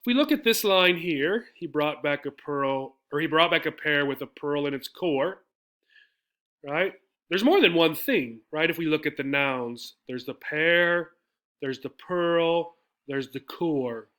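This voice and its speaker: A man's voice: a little high, animated, unhurried, a bit bright. A man teaching English, explaining step by step in a didactic way with frequent questions and check-in tags. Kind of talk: explanatory English lesson with poem reading.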